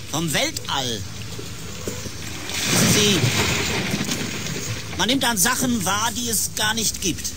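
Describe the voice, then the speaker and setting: A stern, sceptical space chief in a radio play. His voice sits high for a man, brusque and dismissive, over clearly audible engine-like ambient noise. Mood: uneasy. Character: stern, sceptical male space project leader. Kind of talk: German science-fiction radio drama acting.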